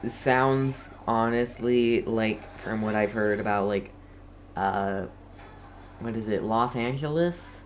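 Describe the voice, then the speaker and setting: A man giving casual game commentary in a halting, rambling way. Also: lowish voice, a little hiss or hum. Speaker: gamer playing through a story quest. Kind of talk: casual game commentary, reading dialogue aloud.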